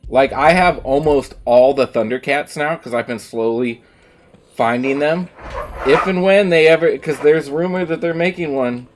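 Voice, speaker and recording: A man's voice: a little high, normal speed, a little hiss or hum. A man streaming a game, rambling casually with lots of ums and the odd swear word. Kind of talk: casual chat while gaming. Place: at home, streaming.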